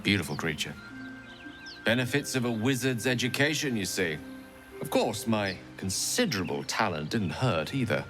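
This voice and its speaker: Middle-aged wizard, erudite, wry, pleased with himself, game dialogue, music behind. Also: male voice, fairly low pitch, sing-song, normal speed. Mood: fond, wry reminiscing. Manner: erudite, wry, rambling storyteller. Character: well-spoken, wistful, self-satisfied male wizard in middle age. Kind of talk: video game dialogue acting.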